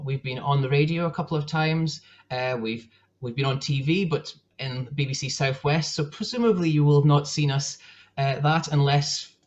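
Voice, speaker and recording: A young man with a northeast Scottish accent giving a chatty presentation on a video call, full of fillers and restarts. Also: fairly high pitch. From northeast Scotland. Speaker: guest speaker. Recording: dull lo-fi call audio.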